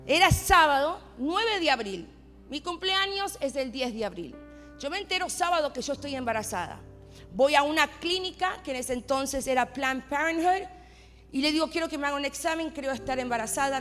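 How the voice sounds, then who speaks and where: A woman's voice: sits high, animated, normal speed, a bit bright. A woman in her forties from Argentina with an Argentine accent, preaching a personal testimony as a pastor's wife in church. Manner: personal storytelling, rising to emphatic declarations. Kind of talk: sermon testimony. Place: church sanctuary. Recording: long hall reverb with faint music.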